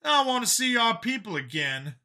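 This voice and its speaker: A man's voice: very high voice, very animated, moderate pace, bright, forceful tone, pitched above the performer's own voice, full character voice. A man voicing a skeptical, homesick, plain-spoken male companion in an audiobook, with a questioning, challenging manner. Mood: wistful, firm.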